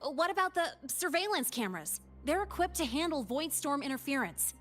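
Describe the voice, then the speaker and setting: An actress voicing a guarded, sharp-witted young academy student in a video game, cool and wry in manner. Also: female voice, high-pitched, very animated, fairly quick, faint music behind. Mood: composed, explaining.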